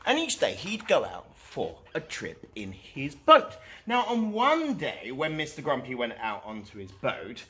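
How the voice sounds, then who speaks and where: A man hosting storytime, reading aloud to children in an animated storytelling style. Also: mid-range voice, measured pace, few pauses.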